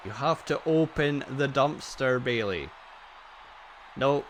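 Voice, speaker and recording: A man's voice: medium pitch, lively intonation, slow pace, crowd noise. A man giving casual, chatty play-by-play over a wrestling video game, joking and laughing at the action, his voice a little tired. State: voice getting tired. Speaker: gaming channel host.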